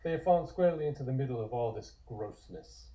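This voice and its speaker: Male narrator, mid-pitched and dark-toned, scripted comic descriptions, somewhat muffled recording. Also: animated, quick pace.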